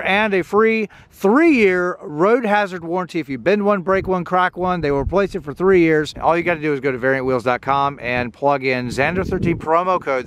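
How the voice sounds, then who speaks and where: A slightly hoarse man in his thirties talks straight into a handheld camera out on an open paved lot, his voice sitting a touch high. He keeps an upbeat, hyped-up delivery as he hosts his car vlog. Gusts of wind rush clearly across the recording. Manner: energetic, hyped-up pitch. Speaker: car channel host. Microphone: camera held at arm's length. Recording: outdoor clip with loud wind noise. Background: wind noise.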